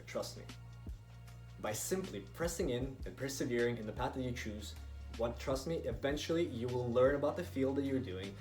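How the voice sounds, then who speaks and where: A man's voice: mid-range voice, a little mellow. A young man from Estonia giving scripted motivational narration in an earnest, emphatic manner, as a video creator. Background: background music.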